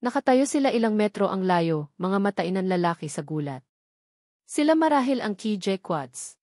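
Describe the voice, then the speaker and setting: A woman's voice: mid-range voice, sing-song, normal speed, darkish tone, no room sound, studio quality. A woman reading a scripted story as a dramatic narrator.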